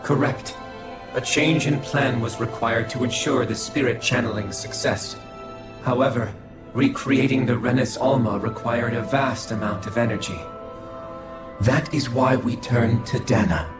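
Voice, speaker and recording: A man voicing a coldly logical, formal alien scholar in a video game cutscene, speaking in a measured, clinical way. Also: medium pitch, steady tone, close to an everyday voice. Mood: clinical, detached. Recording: echoing voice over game music.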